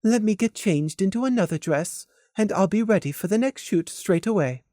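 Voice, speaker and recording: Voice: medium pitch, animated, moderate pace, dark tone, dry recording, clean studio sound, pitched above the performer's own voice, natural voice. A narrator voicing a poised young female pony model with a courteous, demure manner. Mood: polite composure.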